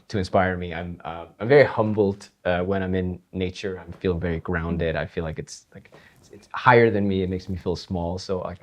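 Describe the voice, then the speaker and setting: A man from Iceland, a guitarist and composer, chatting in an interview in a relaxed, rambling way with frequent laughs. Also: deep voice. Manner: relaxed, rambling, laughs often, many fillers. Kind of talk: casual interview chat.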